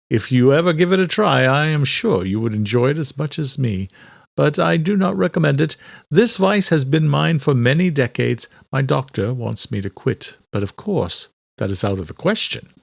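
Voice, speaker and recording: A man voicing an elderly scholarly doctor in an audiobook, courteous and direct, with formal, deliberate, old-fashioned phrasing. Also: medium pitch, lively intonation, creaky, no echo, studio quality, voice pushed up. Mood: genial, courteous. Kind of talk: audiobook character dialogue.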